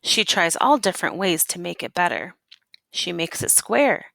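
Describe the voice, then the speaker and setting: A woman teacher reading a picture book aloud expressively, with deliberate emphasis on key words and questions for young pupils. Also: lowish voice, sing-song, vocal fry, fairly bright tone, dry recording, low-quality recording.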